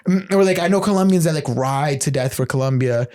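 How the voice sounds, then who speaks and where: A young man from the United States, co-hosting a podcast in casual, animated chat, telling stories full of fillers and swearing. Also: a little high, brisk. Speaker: podcast co-host.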